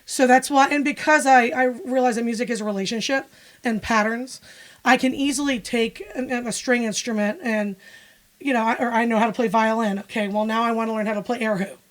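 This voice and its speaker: A woman's voice: a little high, fairly even intonation, a little fast. A woman from Pennsylvania, a musician and music teacher, speaking frankly and wryly in a casual interview. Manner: frank, matter-of-fact, wry.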